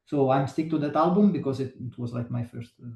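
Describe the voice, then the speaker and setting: A man with an Italian accent, a guest musician chatting in an interview, pausing often as he searches for words in English. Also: medium pitch, vocal fry, darkish tone, roomy sound. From Italy. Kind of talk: casual interview chat.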